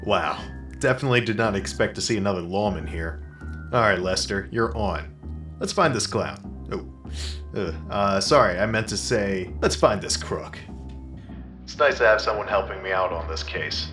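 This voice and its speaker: Comedy noir private eye, male actor: deep, deadpan, dramatic and clueless, echoey with music underneath. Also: normal speed. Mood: earnest. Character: self-serious, dim-witted male private detective, narrating his case. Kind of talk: parody noir narration and character dialogue.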